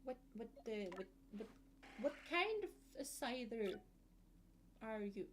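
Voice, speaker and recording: A woman's voice: a little high, big pitch swings, measured pace, background noise. A woman streamer giving casual gameplay commentary, chatty and muttering asides to herself.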